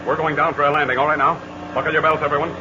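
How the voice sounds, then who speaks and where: An actor playing a calm, commanding heroic pilot in 1940s radio acting on an old recording. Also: male voice, on the high side, rapid-fire, close to an everyday voice. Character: Captain Midnight, calm heroic male pilot. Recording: old noisy lo-fi radio transcription.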